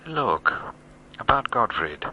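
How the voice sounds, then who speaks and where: An actor playing a smooth-talking, menacing criminal in a radio drama, guarded and insinuating. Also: male voice, medium pitch, sing-song, slow pace. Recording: dull sound, faint background noise.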